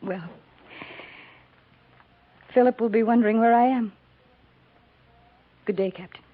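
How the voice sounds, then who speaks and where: A woman acting a reserved, grieving frontier woman in a 1950s radio melodrama, halting and full of regret. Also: fairly high pitch, no room sound. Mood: regretful, restrained. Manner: halting, confessional, restrained. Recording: muffled 1950s radio recording.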